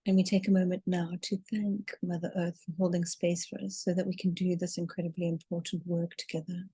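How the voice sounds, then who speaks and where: A woman leading a guided meditation in a soothing, deliberate way, drawing out her words as she narrates. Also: fairly low pitch, flat delivery, dark tone, slightly rough, dry recording. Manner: soothing, deliberate, with long drawn-out counting.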